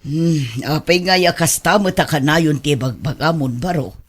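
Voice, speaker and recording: Husky, stern Ilocano aunt played by a woman. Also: low voice, normal speed. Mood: stern, reproachful. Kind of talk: Filipino radio drama acting.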